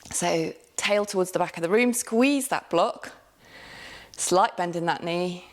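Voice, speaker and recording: A woman yoga teacher calmly cueing a class step by step, with light laughs and the odd self-correction. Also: lowish voice, unhurried. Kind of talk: guided yoga class instruction.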